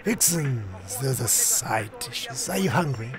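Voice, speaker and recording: A man voicing an unhinged, theatrical clown crime boss in a motion comic, mock-polite and halting, with menace underneath. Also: medium pitch, big pitch swings, music in the background. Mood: sly, playful.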